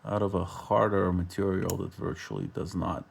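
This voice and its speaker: A man's voice: deep voice, big pitch swings, moderate pace, vocal fry, a little hiss or hum. A man giving a casual, hands-on workshop explanation as host of a repair video, informal, often correcting himself and mildly profane.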